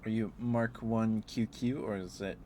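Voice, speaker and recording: Deep voiced guy doing rambly asmr stream chat, sweet manner, clear background noise. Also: steady tone, a little slow, mellow. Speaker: ASMR livestreamer.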